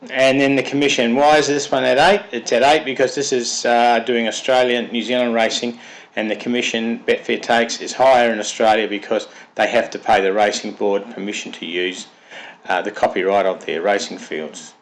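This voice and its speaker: A man with a cold and a slightly froggy voice, methodically walking through a piece of software step by step. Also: mid-range voice, fairly even intonation, moderate pace, rough recording. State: has a cold, sounds froggy. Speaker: software developer presenting his product. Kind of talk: screen-recorded software walkthrough.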